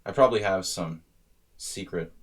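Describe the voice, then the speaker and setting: A man's voice: low-pitched, normal speed. A man in his thirties casually commenting on his chess games on a live stream, joking with chat as he thinks aloud about moves. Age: 30s.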